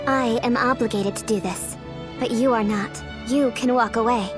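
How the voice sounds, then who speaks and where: A woman acts a determined young female assassin in a video game cutscene. Her voice sits fairly high, earnest and formal with a devoted air, and music plays clearly behind it. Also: steady tone, normal speed, close to an everyday voice. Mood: earnest, resolute.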